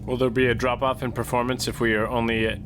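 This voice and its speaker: A man's voice: medium pitch, flat delivery, fast, music in the background. A man talking casually as a player in a tabletop game, questioning how the rules work.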